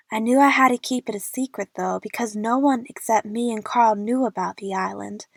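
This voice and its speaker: A woman's voice: mid-range voice, dry recording, natural voice. A young woman playing an eager, chatty movie fan who narrates her adventure in the first person for an audio drama.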